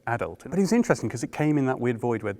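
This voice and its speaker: A man with a medium-pitched voice and a clear creak in it talks casually as an interviewer. He shares his own thoughts conversationally. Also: very animated, racing, a little mellow. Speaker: interviewer and creative collaborator.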